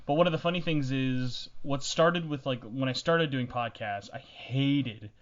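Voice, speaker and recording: A young man hosts a casual podcast in a teasing, joking manner. His voice sits at a middling male pitch. The recording is a little dull and muffled. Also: a little slow. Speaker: podcast host.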